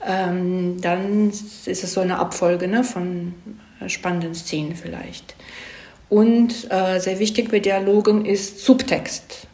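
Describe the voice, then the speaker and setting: A woman's voice: on the low side, fairly even intonation, slow pace, darkish tone. A woman screenwriter giving an interview, explaining her craft in a casual chat and often checking in with short tag questions. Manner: explains with frequent check-in tags. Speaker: screenwriter being interviewed about storytelling craft. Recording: roomy echo around the voice.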